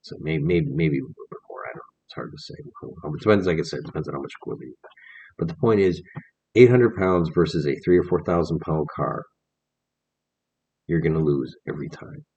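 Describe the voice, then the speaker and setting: A man's voice: a bass voice, expressive, fairly quick, vocal fry, darkish tone, breathy edge, dull audio. A man in his forties giving an earnest, rambling talk to camera in a casual vlog, with many fillers and restarts. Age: 40s. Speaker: vlogger. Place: indoors, on camera.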